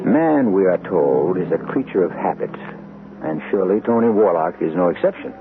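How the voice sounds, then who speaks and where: A man narrating a vintage radio mystery as its host, in measured storytelling tones. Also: deep voice, big pitch swings, over music. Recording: tinny old radio broadcast with noise.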